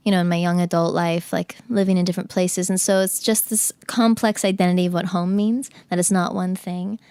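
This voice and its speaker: A woman's voice: fairly low pitch, steady tone, fairly quick, a little mellow, clear, resonant tone. Chatty woman from the Cayman Islands. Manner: chatty, many fillers and restarts. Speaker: podcast guest. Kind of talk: casual interview chat.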